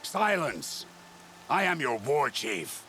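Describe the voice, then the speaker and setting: Scornful, wide-swinging war chief. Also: male voice, mid-range voice, sing-song, takes it slowly, bright, forceful tone, slight background noise, exaggerated voice. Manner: scornful, commanding. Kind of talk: video game cutscene acting.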